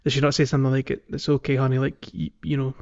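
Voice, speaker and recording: A man co-hosting a podcast, chatting casually about a video game in a halting, conversational way. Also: mid-range voice, monotone, darkish tone, highs cut off. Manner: halting, peppered with "like" and "you know". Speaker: podcast co-host.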